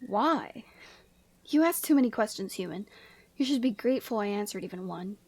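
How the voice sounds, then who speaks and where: A woman playing a proud, commanding yeti woman in a scripted ASMR roleplay, speaking in an imperious, measured and formal way. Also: medium pitch, lively intonation, no room sound. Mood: aloof, intrigued.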